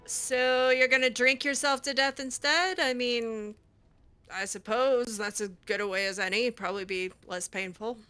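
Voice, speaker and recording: A woman's voice: on the high side, fairly even intonation, moderate pace, pushed and bright, clear, resonant tone, faint music behind. A woman role-playing a video game heroine in improvised dialogue, with a dry, sardonic, hesitant delivery. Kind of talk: improvised in-character gameplay dialogue.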